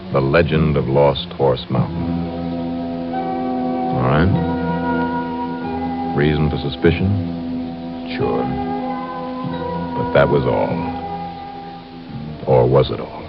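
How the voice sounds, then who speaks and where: Terse male investigator, extremely low voice, measured, radio noir, muffled old recording with loud music. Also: creaky, heavily acted. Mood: brooding, suspicious. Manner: clipped, measured. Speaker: lead character and narrator. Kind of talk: 1940s-50s radio detective acting.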